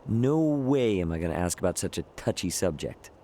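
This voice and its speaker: A man's voice: sits low, sing-song, normal speed, warm tone, slight background noise. An actor playing a brash, easygoing young male spacefaring adventurer in a video game, with a casual, teasing manner.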